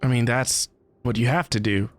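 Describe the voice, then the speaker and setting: A woman's voice: extremely low pitch, moderate pace, faint music behind. A woman giving casual, slangy, teasing reaction commentary as she streams a game.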